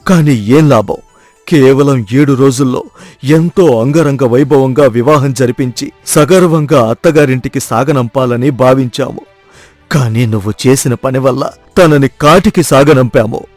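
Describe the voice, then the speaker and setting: A man's voice: medium pitch, a little slow, darkish tone, dry recording, soft background music, natural voice. A man voicing a grave, wounded old father who admonishes in a dramatized moral story. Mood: wounded, bitter.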